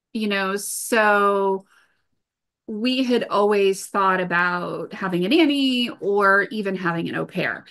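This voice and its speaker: A woman's voice: medium pitch, fairly bright tone. Middle-aged woman explaining things matter-of-factly. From Venezuela and Brazil. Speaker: podcast guest, entrepreneur. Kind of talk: conversational interview answers, personal storytelling.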